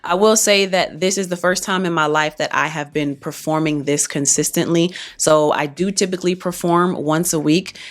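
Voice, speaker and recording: A young woman with a low voice talks straight to her viewers in a relaxed, chatty way. She is a singer, and she is still getting her speaking voice back. She explains things plainly and reads some notes aloud. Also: normal speed, hardly any pauses. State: recovering voice, partly lost speaking voice. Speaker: singer and vlogger. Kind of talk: casual talk to camera.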